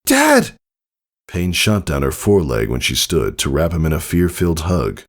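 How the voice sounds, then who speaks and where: A man's voice: extremely low pitch, lively intonation, normal speed. A man narrating a scripted story in a dramatic reading style. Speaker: fan fiction narrator.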